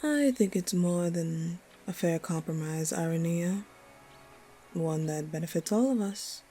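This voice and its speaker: A woman playing a commanding, protective snake woman, dominant yet fond, speaking with measured authority in a fantasy audio roleplay. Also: fairly low pitch, mellow, soft background music, close to an everyday voice. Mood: persuasive. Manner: measured, authoritative, fond toward her human.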